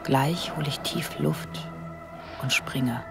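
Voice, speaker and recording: Young german secretary in a radio play, lowish voice, curious and frank, music behind. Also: female voice, slow, vocal fry, full character voice. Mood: dreamy. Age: young adult. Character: seventeen-year-old German secretary, candid and curious. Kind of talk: radio drama dialogue and inner narration.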